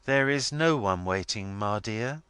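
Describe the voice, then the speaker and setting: A man's voice: fairly low pitch, expressive, measured pace, a bit bright, clear, resonant tone, no room sound. Man reading as an earnest, frank young woman. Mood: gentle protest. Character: affectionate, frank young woman, daughter of the family.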